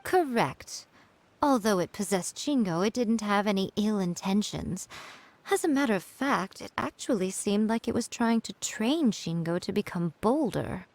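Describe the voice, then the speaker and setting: A woman's voice: mid-range voice, expressive, moderate pace, a little mellow, close to an everyday voice. A woman voicing a sly, teasing fox-spirit shrine priestess in a video game, composed and knowing.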